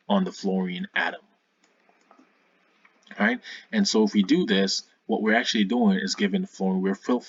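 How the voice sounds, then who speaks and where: Low gravelly man tutoring, husky. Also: no room sound, highs cut off. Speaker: science tutor, video host. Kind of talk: informal tutorial explanation.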